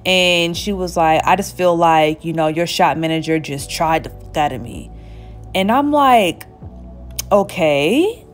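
Chatty salon-owner woman, 30s, lively storyteller, faint music behind. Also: fairly low pitch, unhurried. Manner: chatty, animated storytelling with frequent laughs. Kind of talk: casual storytime while getting a wig installed. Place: small indoor hair salon. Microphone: camera at chest height, seated facing it.

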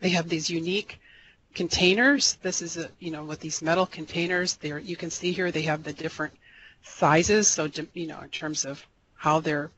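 A man giving a recorded slideshow lecture as an extension educator, relaxed and rambling, with many fillers and restarts. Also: fairly high pitch, moderate pace, slight background noise, poor audio. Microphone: voice-over for slides.

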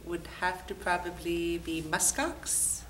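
A woman's voice: on the low side, fairly even intonation, takes it slowly, a bit bright. A woman giving short, playful answers in a studio interview. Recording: distant mic with faint noise.

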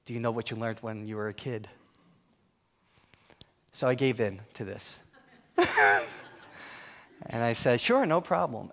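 Guy telling funny self-deprecating stories at a church talk, mid pitch. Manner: humorous, self-mocking anecdotes. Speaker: guest speaker. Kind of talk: storytelling talk. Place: church hall.

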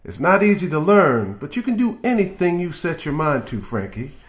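A man voicing a kind, encouraging storybook grandmother in a gentle, reassuring manner. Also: a little high, sing-song, moderate pace, pitched above the performer's own voice.

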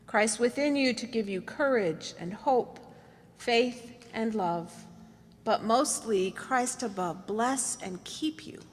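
Higher-pitched woman pastor in a reverberant hall. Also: fairly bright tone. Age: middle-aged. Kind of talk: leading worship, announcements and benediction. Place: large indoor church meeting room. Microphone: podium microphone.

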